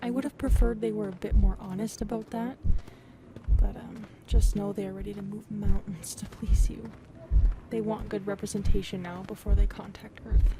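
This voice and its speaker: A woman's voice: mid-range voice, steady tone, moderate pace, over music, close to an everyday voice, rough recording. A woman playing a hesitant, slightly awkward alien woman in an audio roleplay, talking casually and confidingly. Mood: candid, confiding. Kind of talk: scripted audio roleplay drama.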